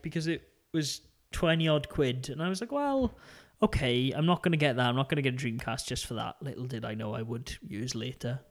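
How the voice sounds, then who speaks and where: A young man with a Welsh accent, co-hosting a casual gaming podcast in a chatty, rambling way. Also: medium pitch. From Wales. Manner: chatty, rambling, quick laughs. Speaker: podcast co-host.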